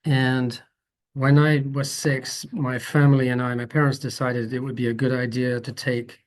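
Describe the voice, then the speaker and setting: A man in his fifties from the Netherlands, a guest photographer talking at length in a relaxed interview, thoughtful and rambling with frequent restarts. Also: mid-range voice, normal speed. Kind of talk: conversational interview.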